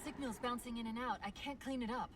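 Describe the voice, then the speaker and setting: Woman doing a brisk military ai lady, kinda high voice, urgent callouts, sounds a bit far off. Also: background noise, close to an everyday voice. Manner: brisk, urgent tactical callouts with wry asides. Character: female military AI companion, quick and sharp-witted. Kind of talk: video game cutscene acting.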